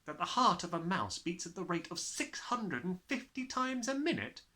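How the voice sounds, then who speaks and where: Man playing an earnest high-pitched little girl. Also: sing-song, normal speed, sharp tone, full character voice. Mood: eager, curious. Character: precocious earnest little girl, bright and eager.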